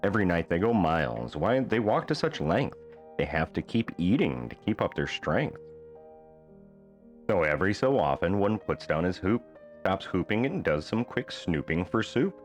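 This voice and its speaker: A man reading a rhyming children's bedtime book aloud as an expressive storyteller. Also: deep voice, normal speed, soft background music.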